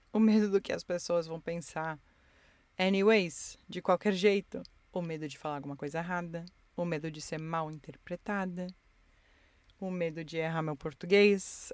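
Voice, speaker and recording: A woman's voice: on the low side, no room sound. A woman hosting a solo podcast from inside her car, reflective and encouraging as she talks straight to her listeners. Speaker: podcast host. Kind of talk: intimate solo podcast monologue.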